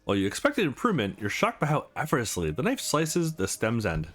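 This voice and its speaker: A man's voice: medium pitch, huge pitch swings, a little fast, a bit bright. A man narrating a visual novel aloud as a let's play host, reading expressively and sometimes correcting a slip. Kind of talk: expressive read-aloud of game narration and dialogue. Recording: music playing behind the voice.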